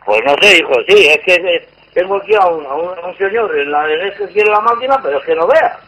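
A man's voice: high voice, lively intonation, brisk, bright, forceful tone, slightly rough, poor audio. A man in his sixties with an accent from Spain, talking on the phone in a confused, exasperated way. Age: 60s. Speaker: private seller answering a phone call. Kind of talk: phone conversation.

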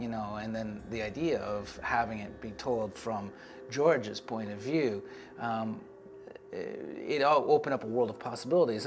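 A man in his forties talking in an interview about creative work, recorded indoors. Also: medium pitch. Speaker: show creative team member. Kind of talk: interview talk about making a series. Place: indoor office with artwork on walls.

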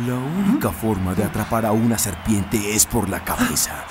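An actor playing a calm, shrewd male team leader in a cartoon. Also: fairly low pitch, moderate pace, background noise. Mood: resolute. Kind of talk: cartoon dubbing.

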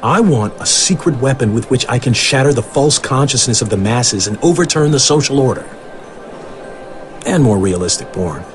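A lively male actor playing a cheeky young film grad in an animated comic. His mid-pitched voice swings eagerly, with music behind. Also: moderate pace, natural voice. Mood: wisecracking. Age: young adult. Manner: wisecracking, eager. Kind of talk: animated comic drama, conversational.

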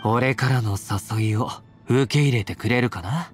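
A man playing a confident, playful young warrior in a Japanese anime-style game, teasing and affectionate in a breezy, smooth-talking way. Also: medium pitch, a little slow, natural voice. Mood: confiding. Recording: game audio with background music.

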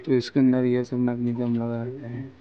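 A man's voice: mid-range voice, completely flat delivery, brisk, highs cut off, crowd noise. A man giving an informal explanatory walkthrough as he films outdoors on a handheld phone. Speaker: video narrator explaining electrical equipment. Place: outdoors at an electrical substation.

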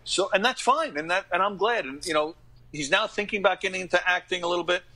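Bright-voiced middle-aged man, earnest and colloquial. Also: high voice, quick pace. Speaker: podcast guest, actor and comedy radio host. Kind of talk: casual interview talk.

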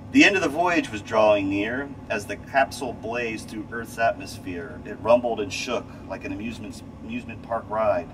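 A middle-aged man reading a rhyming children's storybook aloud in an expressive way, with the odd stumble. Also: lowish voice, normal speed. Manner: expressive read-aloud delivery with stumbles and rereads. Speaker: story reader. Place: small cramped space station window module. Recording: distant mic, loud steady ventilation hum. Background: loud steady air-conditioning-like hum.

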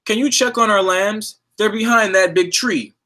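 A trusting ewe from a children's picture book, greeting a stranger politely, as a man reading aloud voices her. Her voice is high, bright and sweet. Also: moderate pace. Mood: friendly. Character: sweet, trusting female sheep.

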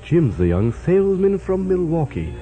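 A man's voice: on the high side, sing-song, moderate pace, dark tone, soft background music. A man playing a genial, courteous, slightly dotty mad doctor in a comic horror radio play.

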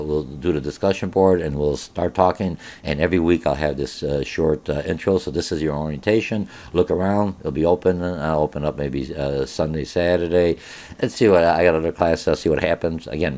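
A man's voice: very deep voice, darkish tone. A middle-aged man, a college business instructor whose first language is Polish, giving an informal online course orientation with many filler sounds. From born in Germany.

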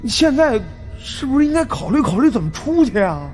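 A man's voice: very high-pitched, sing-song, fairly quick, darkish tone, soft background music, voice pushed up. A man voicing a cheeky, nervous fat man who talks colloquially and stammers. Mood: flippant.

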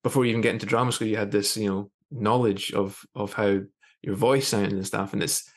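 Man, thirties, lowish voice, podcast host, conversational, halting and rephrasing, video call in a small room. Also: steady tone. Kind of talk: conversational interview. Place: small plain room indoors. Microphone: video call with earphones.

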